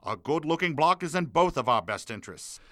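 A man's voice: a little high, animated, brisk, pushed and bright, dry recording, studio quality. A man playing a pompous middle-aged wartime president with petty grievances, in a parody of a 1940s radio address. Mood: folksy, stately. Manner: formal broadcast oratory sliding into grumbling.

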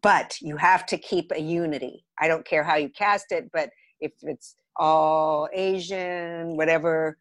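A woman's voice: sits low, fairly even intonation, measured pace, hardly any pauses, no room sound. A woman with an American accent, a podcast guest and theatre director chatting casually about her work. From Los Angeles area, United States. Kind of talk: casual interview, telling career stories.

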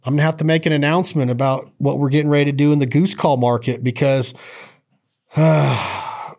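A man's voice: on the high side, fairly even intonation, moderate pace, vocal fry, darkish tone. A man hosting a podcast in a rambling, joking, folksy way, drifting into ad reads. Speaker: podcast host. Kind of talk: casual podcast chat and ad reads.

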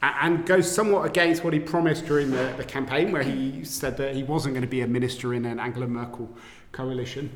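A man's voice: mid-range voice, fairly even intonation, a little fast, a little hiss or hum. A native English-speaking man moderating a political panel discussion, with hesitant phrasing and repeated words. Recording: echoey, reverberant hall.

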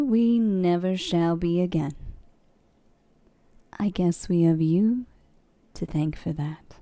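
A woman voicing a scornful, menacing ancient villainess with a theatrical, mocking, drawn-out delivery. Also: lowish voice, warm tone, no echo. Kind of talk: fantasy villain monologue.

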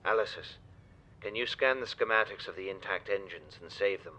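A man's voice: on the low side, moderate pace, bright, forceful tone, muffled recording, slight background noise. A man playing a businesslike ship engineer in an audio drama. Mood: focused. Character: businesslike male ship engineer planning repairs.

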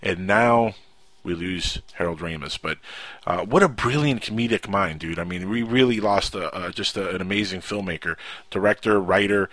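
A man with a Cuban accent hosting a casual radio talk show, animated and quick to raise his voice. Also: sits low, no echo. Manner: animated, quick to jump to loud outbursts.

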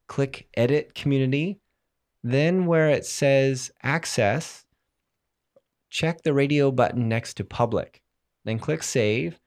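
A man hosting a solo tech podcast, explaining software settings while fighting a cold, clearing his throat now and then. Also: medium pitch. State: has a cold, voice strained. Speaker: podcast host.

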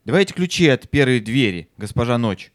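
A brave, polite young boy on a quest in an audio fairy tale, acted by a man. His voice is mid-pitched and determined, recorded dry and close. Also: animated, a little fast, no room sound, close to an everyday voice. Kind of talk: audio play acting.

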